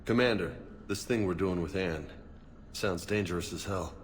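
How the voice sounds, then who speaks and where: A man voicing a protective, excitable soldier in a video game, blunt and slangy. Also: sits low, moderate pace, slight background noise, lightly acted. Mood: concerned. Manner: blunt, informal.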